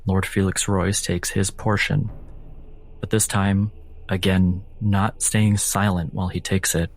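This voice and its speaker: A man narrating a tabletop game as game master, with dramatic, suspenseful and deliberate phrasing. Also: deep voice, flat delivery.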